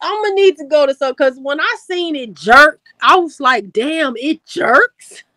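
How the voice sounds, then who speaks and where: A woman's voice: sits high, animated, a little slow, sharp tone, no echo. A woman hosting a casual livestream chat, laughing easily and talking in loose slang. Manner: laid-back, laughing, slangy storytelling. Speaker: host.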